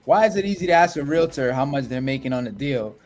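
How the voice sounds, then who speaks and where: A man's voice: medium pitch, animated, quick pace, a little mellow, faint music behind. A man co-hosting a real estate podcast, talking in a blunt, streetwise, casual way. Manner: streetwise, blunt, says "yo" and "folks".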